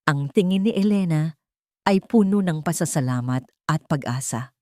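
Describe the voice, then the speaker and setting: A woman's voice: sits low, lively intonation, normal speed, darkish tone, dry recording, studio quality. A woman narrating a scripted dramatic story as an audiobook reader.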